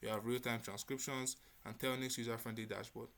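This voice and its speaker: A man's voice: mid-range voice, steady tone, a little fast, a bit bright. A man giving a spoken demo presentation as a developer showing his project.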